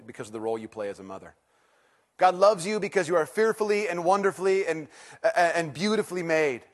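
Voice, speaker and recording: A middle-aged man preaching as a teaching pastor, conversational and self-deprecating, swinging from loud excitement to hushed intensity before a church congregation. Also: high-pitched. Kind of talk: sermon.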